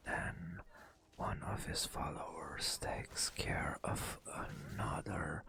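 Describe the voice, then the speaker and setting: A man softly narrating a fantasy game adventure as ASMR storytelling, in a breathy whisper with deliberate, drawn-out phrasing. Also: deep voice. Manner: slow, deliberate phrasing with long pauses. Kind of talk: soft-spoken ASMR storytelling.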